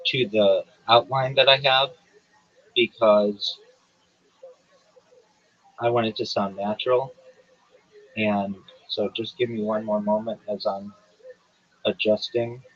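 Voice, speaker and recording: A man hosting a livestream who reads a prepared statement in a measured, formal way and then slips into casual, hesitant chat. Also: on the low side, steady tone, highs cut off. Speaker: livestream host.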